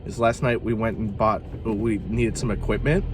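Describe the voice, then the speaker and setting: A middle-aged man chatting casually as host of a phone call, joking at his own expense in a halting way. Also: on the low side, steady tone, a little hiss or hum.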